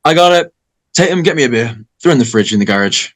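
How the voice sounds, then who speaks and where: A man playing a brash, cocky high school boy in a script read. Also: lively intonation, quick pace, lightly acted. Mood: bossy, excited. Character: brash, cocky male American high school party host. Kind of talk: informal script table read.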